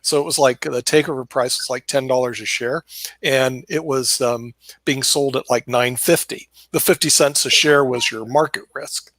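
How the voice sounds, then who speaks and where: A man's voice: mid-range voice, steady tone, moderate pace, fairly bright tone, dry recording. A man hosting a casual video call, talking in a rambling way with many fillers, restarts and laughs. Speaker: host.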